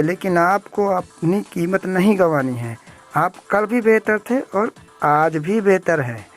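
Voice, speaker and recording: A woman hosting a story show, telling a moral tale and explaining it directly to listeners in short phrases. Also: medium pitch. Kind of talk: spoken storytelling with a moral lesson, addressed to listeners. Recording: music bed under the voice. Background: background music.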